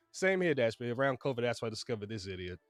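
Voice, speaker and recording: A man's voice: mid-range voice, dry recording. Man rambling through stream commentary. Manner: rambling, talks back to chat. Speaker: streamer host. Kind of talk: casual live-stream reaction commentary.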